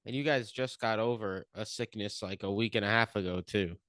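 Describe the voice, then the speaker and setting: A man hosting a podcast in relaxed, teasing chat. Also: on the low side, fairly quick, no room sound. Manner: teasing, laid-back. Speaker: podcast host. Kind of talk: casual chat.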